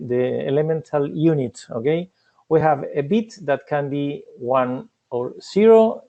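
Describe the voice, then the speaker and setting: Argentine guy presenting, lots of uhs and restarts, slightly high voice. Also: mellow. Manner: halting, many fillers and restarts. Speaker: cybersecurity researcher presenting a talk. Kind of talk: conference presentation.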